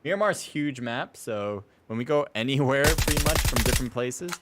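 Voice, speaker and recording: A man's voice: mid-range voice, expressive, normal speed, heavy background noise. A man casting a live gaming stream in a casual, rambling, self-deprecating way. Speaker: esports livestream caster. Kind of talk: casual live game commentary and chat. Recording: music behind the voice. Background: music.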